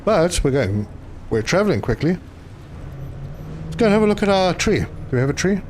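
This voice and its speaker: A man's voice: high-pitched, big pitch swings, fairly quick, a little mellow, slight background noise. Chatty man streaming a game. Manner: chatty, reads chat aloud, hesitant with fillers. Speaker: gaming live streamer. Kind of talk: casual live stream commentary.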